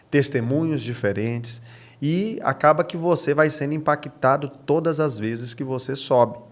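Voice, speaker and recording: A man of thirty-six with a Brazilian accent, a pastor speaking as a podcast guest, explaining earnestly and repeating phrases for emphasis. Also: mid-range voice. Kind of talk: podcast interview answers.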